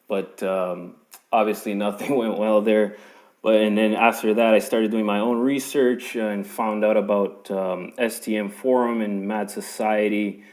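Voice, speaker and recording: A young man from Canada introducing himself casually in an online audio chat, rambling with lots of ums. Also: fairly low pitch. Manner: relaxed and rambling, many ums. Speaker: guest account manager.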